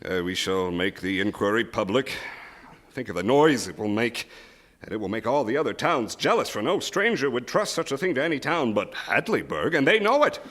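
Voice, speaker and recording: An actor playing an anxious, guilt-ridden small-town husband in a live radio drama, hesitant and stammering with sudden outbursts. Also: male voice, medium pitch, very animated, normal speed, a bit bright. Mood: flustered. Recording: live stage recording before audience.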